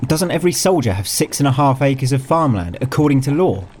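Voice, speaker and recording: A man doing a brisk, calm young nobleman. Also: medium pitch, a little hiss or hum, pitched above the performer's own voice, lightly acted.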